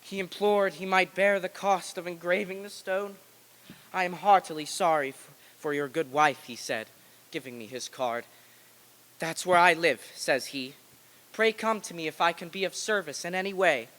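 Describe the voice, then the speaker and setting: A man's voice: sits high, normal speed, fairly bright tone. A man playing a humble, grieving father in an old-time radio play reading on stage. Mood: tender, grateful. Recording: long echo of a hall.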